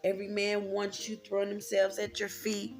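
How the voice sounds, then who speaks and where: A woman's voice: medium pitch, completely flat delivery, moderate pace, faint music behind. An American woman in her thirties talking candidly and informally to her viewers, reflective and repeating points for emphasis. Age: 30s. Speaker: vlogger. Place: indoors, room with a closet.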